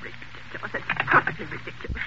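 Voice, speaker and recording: A woman's voice: fast talker, lightly acted. An actress playing a frantic, self-important married woman in an old radio drama, stammering and indignant as she talks haughtily on the telephone. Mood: scornful. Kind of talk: golden age radio drama acting. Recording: vintage radio broadcast, muffled, hissy.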